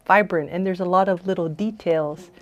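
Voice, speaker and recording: A woman's voice: on the low side, normal speed. A woman interviewing guests as host, in a conversational style.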